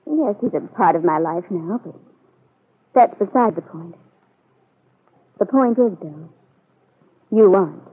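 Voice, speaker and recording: A woman's voice: on the low side, lively intonation, unhurried, long pauses, husky. An actress playing a hurt, resolute young woman in a 1940s radio soap, emphatic and melodramatic. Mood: firm, wounded. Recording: muffled old radio broadcast.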